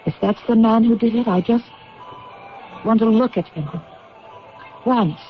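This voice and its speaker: An actress playing an anxious but determined widow, hesitant and pleading, in an old-time radio drama. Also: female voice, fairly high pitch, measured pace, music in the background, close to an everyday voice. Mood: grieving, resolute. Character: anxious, determined grieving widow seeking answers. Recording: dull old radio recording.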